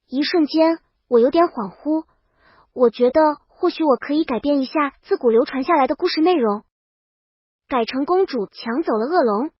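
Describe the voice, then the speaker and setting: Casting: female audiobook narrator, high voice, brash comic storytelling in the first person, dry and slightly dull sound. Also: normal speed, dry recording.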